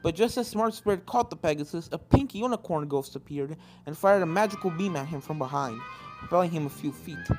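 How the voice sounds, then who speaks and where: Narrator reading a fanfic out loud, dramatic, mid pitch, game music behind. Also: expressive, fairly quick, few pauses. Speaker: fan fiction narrator. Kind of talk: dramatic scripted story narration.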